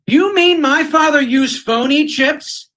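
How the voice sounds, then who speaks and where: A man's voice: very high-pitched, slow, noisy background. An actor playing a blustery, touchy, folksy husband in old-time radio comedy, cocky and combative in his delivery. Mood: indignant.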